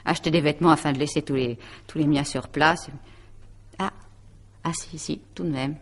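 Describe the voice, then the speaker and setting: An actress playing a calculating, possessive middle-aged woman in a radio mystery drama, speaking in a taunting, deliberate, self-satisfied way. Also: low voice, steady tone, vocal fry. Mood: pleased with herself.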